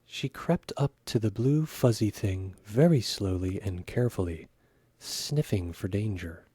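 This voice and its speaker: A man reading a children's story aloud with expressive narration. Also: on the low side, measured pace, slightly rough, dry recording.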